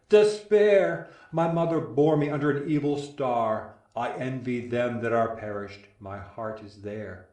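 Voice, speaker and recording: A man's voice: medium pitch, a little mellow, natural voice. An actor playing a despairing widowed king, lamenting mournfully in the archaic verse of a Greek tragedy. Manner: mournful, declamatory. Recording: echoey room, distant from mic.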